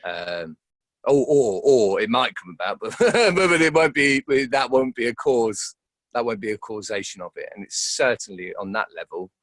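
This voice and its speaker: A man's voice: medium pitch, animated, normal speed, a bit bright, no room sound. A man giving conversational interview answers as an actor, hesitant and often repeating words as he thinks aloud.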